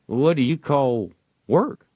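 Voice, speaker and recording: A man voicing an excitable young village boy with folksy dialect, by turns cocky and pleading, in an audiobook. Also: medium pitch, takes it slowly, dry recording, voice pushed up. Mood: skeptical. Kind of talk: audiobook character voice.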